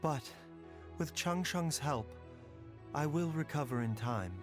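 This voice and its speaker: A man playing a calm, courteous doctor in a video game, speaking in a measured, formal way with grave concern. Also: fairly high pitch. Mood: reassuring. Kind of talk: scripted video game voice acting. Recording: background music under the voice.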